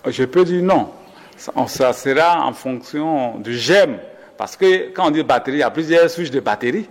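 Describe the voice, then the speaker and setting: A male doctor giving health advice in an interview, hesitant and full of fillers and self-corrections. His voice sits mid-range in pitch. The sound trails off in a long reverb. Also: expressive, moderate pace. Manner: hesitant, many fillers and restarts, advising listeners.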